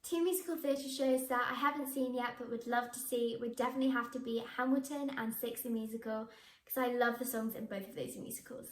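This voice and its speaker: A teenage girl talks about her acting work, bubbly and eager. Her voice sits high. The room around her adds a noticeable echo. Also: fairly even intonation, fairly quick, a little hiss or hum. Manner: bubbly, enthusiastic, with frequent laughs. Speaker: young actress. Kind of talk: answering interview questions to camera. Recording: echoey room.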